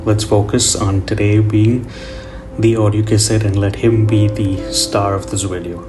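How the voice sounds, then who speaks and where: A man's voice: fairly low pitch, level tone, a little slow. A middle-aged man giving a casual, rambling voiceover in a nostalgic, reflective manner, as host of a drawing tutorial. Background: guitar music.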